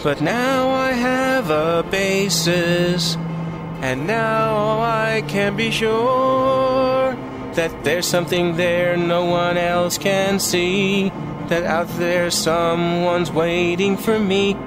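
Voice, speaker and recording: A man plays a starship commander in a sci-fi audio drama musical. He is smitten and takes his time with his words, over loud music. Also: high-pitched, fairly even intonation, extremely slow. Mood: elated singing. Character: smitten male starship officer, a commanding superior. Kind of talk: fan audio drama acting with musical singing.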